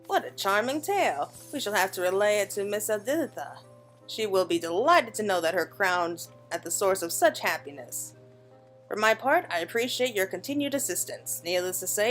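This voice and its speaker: A woman's voice: mid-range voice, lively intonation, normal speed, faint music behind, natural voice. A woman voicing a courtly, gracious festival attendant from a fantasy game, formal and polite. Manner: formal, polite, archaic wording.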